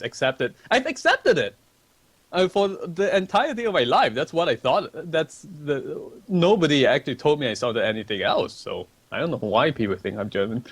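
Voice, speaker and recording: A man's voice: mid-range voice, no echo. A young man from Malaysia hosting a casual livestream, chatty and rambling as he reads viewer questions aloud at a desk microphone. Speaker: livestream host. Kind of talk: casual stream chat, answering viewer questions.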